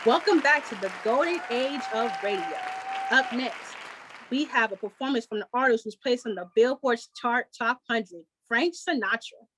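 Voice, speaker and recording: High-voiced woman radio announcer. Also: lively intonation, moderate pace, a loud crowd, heavy background noise. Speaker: radio show host. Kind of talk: scripted 1940s radio announcing.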